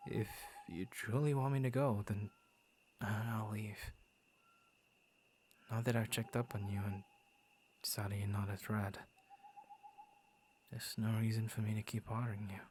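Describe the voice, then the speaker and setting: A man playing a gentle, shy young werewolf in an intimate ASMR roleplay, speaking softly and breathily, often near a whisper, with hesitant stammers. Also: lowish voice, flat delivery, measured pace, pauses often, slight background noise, lightly acted. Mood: reserved, hesitant. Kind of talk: ASMR roleplay, intimate soft-spoken acting.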